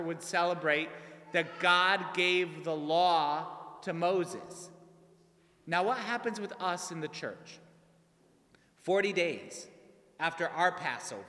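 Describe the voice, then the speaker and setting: A man's voice: sits high, bright, forceful tone. A man in his thirties, a priest giving a casual, conversational homily from a pulpit, often checking in with "right?". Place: large church, at a pulpit. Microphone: pulpit mic on a stand. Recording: long church reverb.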